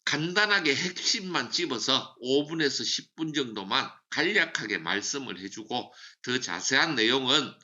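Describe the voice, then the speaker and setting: A man's voice: mid-range voice, animated, normal speed, few pauses, sharp tone, dull audio. Emphatic man drilling sales slogans. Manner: emphatic, drills slogans and repeats key phrases. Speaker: seminar speaker for a sales business. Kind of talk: motivational sales training lecture.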